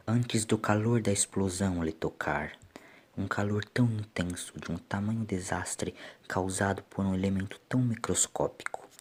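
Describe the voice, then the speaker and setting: A man's voice: low-pitched, breathy edge. A man with a Brazilian accent giving a dramatic scripted narration of a science-fiction story.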